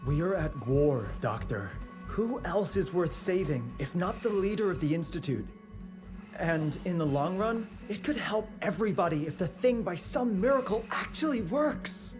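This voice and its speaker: A man's voice: high voice, lively intonation, normal speed. An actor playing an earnest, loyal agency man in a video game, reasoning politely and persuasively. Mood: pleading, reasoning. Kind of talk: scripted video game cutscene acting. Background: background music.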